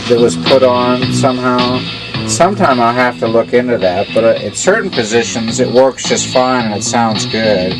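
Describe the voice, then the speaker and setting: A man's voice: mid-range voice, steady tone, moderate pace, over music, rough recording. A man casually talking through a tube radio repair, thinking aloud as he works. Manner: plain, thinking aloud with restarts.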